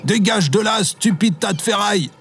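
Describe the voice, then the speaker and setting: A man's voice: high voice, moderate pace, music in the background. An actor playing a bossy, grumpy man in an audio drama, speaking brusquely. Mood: angry.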